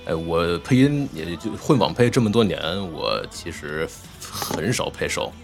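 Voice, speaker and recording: A man's voice: sits low, very animated, a little slow, creaky. A man who works as a voice actor chatting casually as a guest, often hesitating and repeating his words. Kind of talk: casual panel chat. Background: background music.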